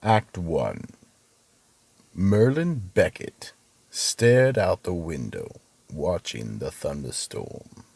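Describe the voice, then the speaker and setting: A man with a gravelly, husky voice giving deliberate, solemn dramatic narration for a mystery radio play. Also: low-pitched, big pitch swings, darkish tone, no echo.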